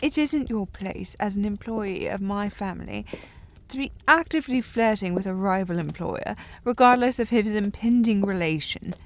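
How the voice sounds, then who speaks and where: A woman acting a haughty, slightly tipsy young upper-class lady in a historical romance audio drama, with prim formal diction and a breathy voice that often drops to a whisper. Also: mid-range voice, moderate pace, close to an everyday voice. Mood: indignant, scolding. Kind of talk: historical romance audio roleplay, intimate one-sided dialogue.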